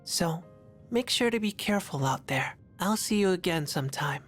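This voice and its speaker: A woman plays a mysterious young visitor in a video game. The voice is on the low side, calm and gentle, with music underneath. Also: moderate pace, close to an everyday voice. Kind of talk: English video game dub acting.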